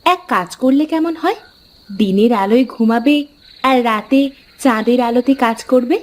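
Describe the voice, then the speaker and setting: A woman's voice: on the high side, moderate pace, natural voice. A woman voicing a caring, practical village farmer's wife in a cartoon folk tale, homely and coaxing. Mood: gentle, wistful.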